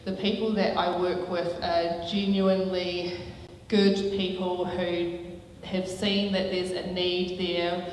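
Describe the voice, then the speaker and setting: A woman with a loud voice, presenting at a conference in a chatty, slightly nervous way. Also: lowish voice, level tone, takes it slowly, slight background noise. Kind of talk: live conference talk. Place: large hall. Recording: echoey hall from far off.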